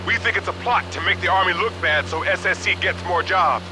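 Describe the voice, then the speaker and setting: An actor playing a gruff, wary private military mercenary in a video game, speaking in clipped military radio style. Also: male voice, high-pitched, brisk, background noise, lightly acted. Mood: tense, matter-of-fact. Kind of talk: video game cutscene acting.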